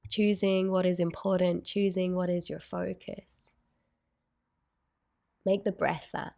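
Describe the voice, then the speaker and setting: A woman guides a meditation very slowly, with long pauses between gentle phrases. Her voice sits on the low side and stays in a narrow, even range, with noticeable fry at the edges. The delivery is soothing and unhurried.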